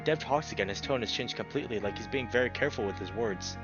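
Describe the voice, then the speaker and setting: A man's voice: mid-range voice, fast talker, lo-fi sound, over music. A man narrating a visual novel in a dramatic, immersed storytelling way, as a player reading aloud.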